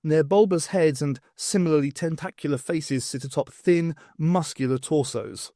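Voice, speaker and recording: Animated male audiobook narrator, close dry sound. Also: fairly high pitch, dry recording. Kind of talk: expressive scripted narration.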